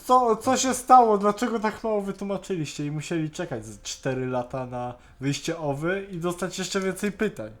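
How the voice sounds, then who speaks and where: A man's voice: high-pitched, lively intonation, moderate pace, few pauses. A man chatting casually on an online call, often stammering and repeating the starts of words. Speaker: co-host on a Skype call. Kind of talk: casual chat reviewing an animated series.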